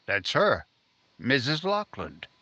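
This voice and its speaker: An actor playing a dogged, urgent newspaper reporter in an old-time radio crime drama. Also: male voice, medium pitch, wildly swooping pitch, takes it slowly, pushed and bright, lo-fi sound, full character voice. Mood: surprised.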